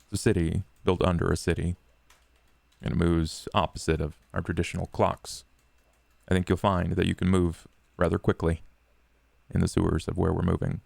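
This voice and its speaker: Man playing a very gravelly, courtly vampire schemer. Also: low voice, flat delivery, a little mellow, no room sound, exaggerated voice. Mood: grave, measured. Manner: formal, measured, deliberate. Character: grave, courtly male vampire, dryly self-deprecating schemer. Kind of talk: tabletop roleplay in character.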